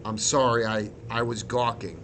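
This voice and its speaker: A man's voice: lowish voice, fairly even intonation, normal speed, background noise, natural voice. Man acting an earnest, stiff army commander. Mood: flustered, earnest. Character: stoic, earnest male military commander, a vegetable soldier.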